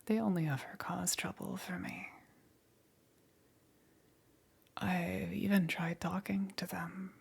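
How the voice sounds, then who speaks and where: A woman voicing a seductive, possessive yet melancholy demon queen in a whispered ASMR roleplay, slow and softly condescending, with a breathy, husky voice. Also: on the low side, heavily acted. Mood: wistful.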